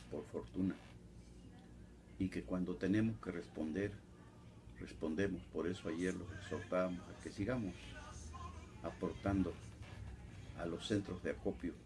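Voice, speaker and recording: A man delivers slow news commentary in a low, gravelly voice. He speaks in short, measured phrases and keeps a solemn tone throughout. A faint hiss sits under the recording. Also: darkish tone. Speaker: political commentator.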